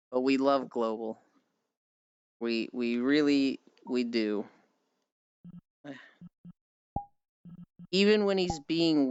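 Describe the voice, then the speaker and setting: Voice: mid-range voice, a little mellow, clear, resonant tone, no echo. Casual streamer with a dull lo-fi sound. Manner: rambling, playful teasing, mild swearing. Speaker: livestreamer, host. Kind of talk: casual livestream chat, reading and answering chat messages. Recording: dull lo-fi audio with music. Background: music.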